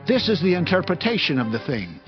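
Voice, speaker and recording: A man's voice: a little high, sing-song, fairly quick. A man playing a grave prophet who rebukes a proud king in a solemn, declamatory way, in a dramatized scripture reading. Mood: stern, accusing. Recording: dull lo-fi audio with music.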